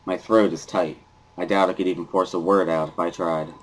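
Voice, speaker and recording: A man's voice: deep voice, steady tone, a little fast, poor audio. A man reading a story aloud as a dramatic first-person narrator. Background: guitar music.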